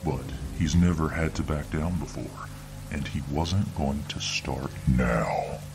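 Man, extremely deep voice, slow ominous storytelling, music playing behind. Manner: ominous, drawn-out delivery. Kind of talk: scripted ASMR horror narration. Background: background music.

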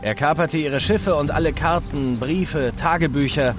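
An actor playing a young, wisecracking treasure hunter in a video game dub, wry, teasing and eager. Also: male voice, fairly high pitch, unhurried, over music, close to an everyday voice. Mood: eager, intrigued. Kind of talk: German video game dub, cinematic dialogue.

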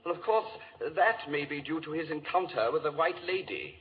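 A man's voice: on the high side, fairly quick, close to an everyday voice. An actor playing a worried, chatty English lord, a father, telling a tale in hesitant 1940s radio drama style. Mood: dramatic recounting. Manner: animated, hesitant storytelling. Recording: dull old radio recording.

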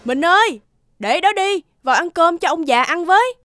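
A woman's voice: very high-pitched, lively intonation, moderate pace, fairly bright tone, soft background music. A woman playing a curt, cold, dismissive grown daughter in a cartoon, brusque and impatient. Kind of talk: cartoon voice acting.

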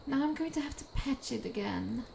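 A woman's voice: high-pitched, expressive, brisk, creaky, a little mellow, slight background noise, heavily acted. A woman playing an exasperated, grumbling villager in a fantasy video game, heard at a distance over ambience. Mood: annoyed. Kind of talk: video game dialogue acting.

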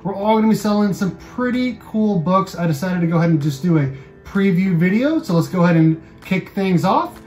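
A man's voice: sits high, lively intonation, a little fast, a little mellow, soft background music. An American man in his thirties hosting a casual video, running through items for sale in a room at home. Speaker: YouTube channel host. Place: small room at home, indoors.

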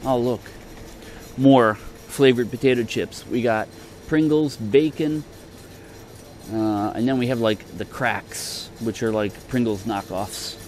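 Slow-talking man, offhand store vlogger. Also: medium pitch, darkish tone. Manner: offhand, hesitant, trailing off in fragments. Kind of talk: casual shopping tour commentary. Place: large indoor supermarket. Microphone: handheld camera from behind it. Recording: clear store ambience noise.

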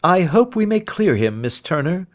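A man voicing a sharp, confident Victorian detective in an audiobook, speaking in an assured, articulate and emphatic way. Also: high voice, sing-song, moderate pace, pitched above the performer's own voice. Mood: reassuring.

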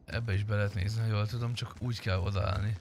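A man's voice: fairly low pitch, fairly even intonation, creaky, darkish tone, a little hiss or hum. A man in casual gaming chat, talking bluntly and sarcastically and swearing freely. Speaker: gamer streaming a roleplay game.

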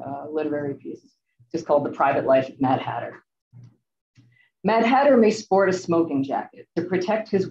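A young woman giving a rhythmic, measured poetry reading over a video call. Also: low-pitched, dark tone. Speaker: poet reading. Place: large room with seated audience. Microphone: video call, off to the side. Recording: distant muffled echoey room audio.

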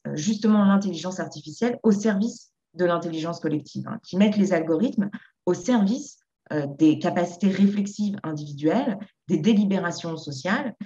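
A woman introducing an academic seminar over a video call, speaking in measured phrases with fillers. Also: on the low side, mellow. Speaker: seminar organizer. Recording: dull lo-fi video call audio.

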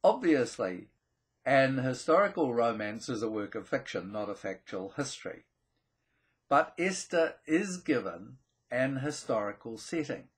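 A man presents a scripted explainer to camera, with deliberate, emphatic phrasing, dramatic pauses and a slightly husky voice. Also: medium pitch, fairly bright tone. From New Zealand. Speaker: presenter of a theology video channel.

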